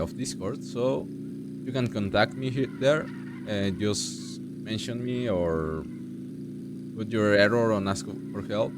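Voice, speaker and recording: A young man from Mexico with a Mexican Spanish accent, speaking halting non-native English as he walks through a software tutorial step by step. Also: lowish voice, noisy background. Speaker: tutorial creator. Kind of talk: screen-recorded software tutorial.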